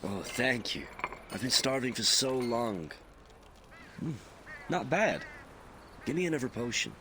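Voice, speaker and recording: A man's voice: mid-range voice, big pitch swings, a little slow. A man playing a hungry, grateful refugee in a video game. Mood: grateful, greedy. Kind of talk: scripted video game voice acting. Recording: clear background noise.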